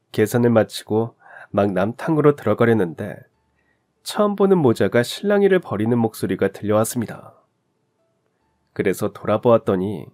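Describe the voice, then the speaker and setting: A man narrating a heartfelt life story in a scripted, expressive reading. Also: mid-range voice.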